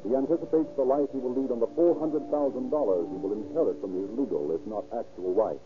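A man's voice: medium pitch, fast, over music. Tinny-sounding 1930s radio announcer man. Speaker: announcer and narrator. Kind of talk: 1930s radio scripted narration and advertising.

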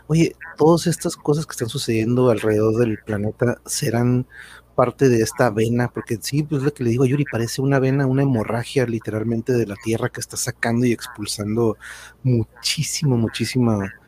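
A man's voice: medium pitch, steady tone, a little fast, a little mellow, a little hiss or hum. A man hosting a casual livestream chat in a rambling way, often chuckling. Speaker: host.

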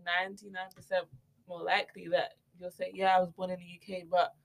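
A woman's voice: lowish voice, flat delivery, creaky. A young woman from the UK, a guest in a podcast discussion, who pushes back with pointed questions. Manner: challenging, quick questions. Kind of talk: casual group discussion.

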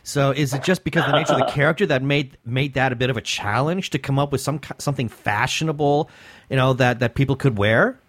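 Fast-talking male podcast co-host. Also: mid-range voice, a bit bright, no room sound. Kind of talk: casual interview chat.

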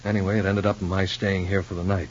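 A man playing an earnest, worried, ordinary fellow in a 1950s radio soap opera, speaking in a halting way. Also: deep voice, little pitch movement, close to an everyday voice. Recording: muffled old radio recording with hum.

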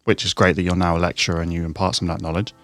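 Male podcast host, deep and measured, rambling reflective questions, dry close mic. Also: flat delivery, a bit bright, dry recording. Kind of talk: podcast interview.

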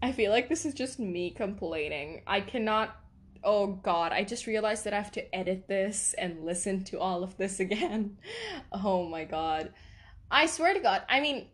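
A woman's voice: medium pitch, animated, a little slow. A woman with a noticeable accent hosting her own podcast, rambling and laughing at herself as she chats. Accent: non-native accent. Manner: rambling, self-deprecating, laughs often. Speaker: podcast host. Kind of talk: casual solo podcast chat.

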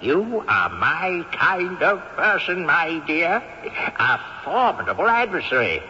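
A cantankerous old ghost who chuckles through his lines with theatrical, old-fashioned diction. He speaks slowly with a bright, ringing tone and a lot of swing in his pitch. The dull radio recording carries a long reverb. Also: very animated, exaggerated voice. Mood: amused. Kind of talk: 1970s radio drama acting.

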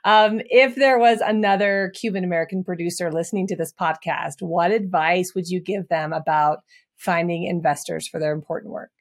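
A woman's voice: lowish voice, fairly even intonation, moderate pace, no room sound, studio quality. Gushing woman podcast host. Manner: warm, gushing, laughs easily. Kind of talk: podcast interview.

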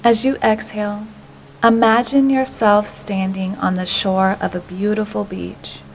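A woman narrating a guided meditation in a slow, gentle, unhurried way, with softly breathed phrase endings. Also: mid-range voice, flat delivery, slight background noise, rough recording.

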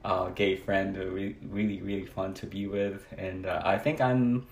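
A man's voice: deep voice, flat delivery, moderate pace, reverberant. A man from the Philippines with a Filipino accent, speaking hesitantly with many fillers as an interview guest. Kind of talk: casual personal conversation.

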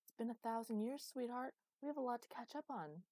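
A woman's voice: fairly high pitch, expressive, brisk, mellow, dry recording, voice pushed up. A woman voicing an ancient, imperious female underworld ruler, bitter and sardonic, in a dramatized story. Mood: weary, exasperated. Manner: bitter, sardonic, hissing outbursts.

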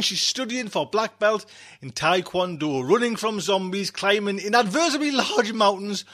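A man's voice: sits high, fairly bright tone. A man hosting a podcast casually, chatty and rambling, often repeating his phrases. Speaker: podcast host.

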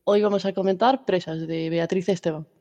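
Galician woman, podcast host, chatty, mellow timbre. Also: lowish voice, fairly even intonation, a little fast, highs cut off. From Galicia, Spain. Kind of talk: casual book club chat.

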